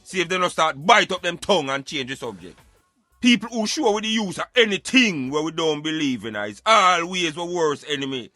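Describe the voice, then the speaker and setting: A man's voice: a little high, animated, a little fast, pushed and bright, no room sound, faint music behind. A Jamaican man giving emphatic, opinionated social commentary in Patois, like a street philosopher preaching on a radio show.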